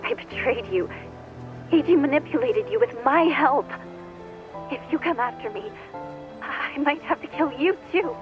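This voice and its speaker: A woman's voice: sits high, lively intonation, unhurried, pauses often. An actress playing a guilt-ridden, defiant young woman in a dramatic video game cutscene. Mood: anguished defiance. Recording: dull sound, music under the voice.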